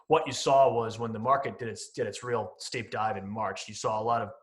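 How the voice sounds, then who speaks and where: A man's voice: fairly low pitch, fairly even intonation, brisk. A man in his late thirties answering as a podcast guest in a conversational, explanatory way with some hedging. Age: late 30s. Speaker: podcast guest, company CEO.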